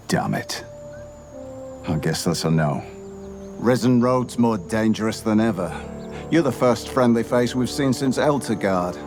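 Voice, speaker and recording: A man playing a weary, roguish caravan smuggler in a video game, halting and conspiratorial. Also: on the low side. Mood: weary, relieved.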